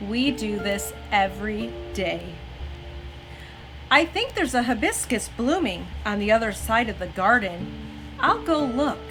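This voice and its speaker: A woman reading a children's story aloud in an expressive, gentle storytelling manner. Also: a little high, unhurried, rough recording. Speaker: mother reading aloud on a family story-time show. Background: background music.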